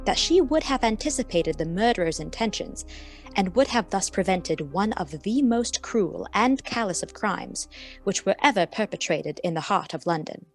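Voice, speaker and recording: A woman's voice: mid-range voice, animated, normal speed, soft background music, lightly acted. A woman playing a devoted, discreet detective's companion, narrating a radio mystery in a formal, precise, confiding way. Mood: proud, composed. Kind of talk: scripted radio play narration in character.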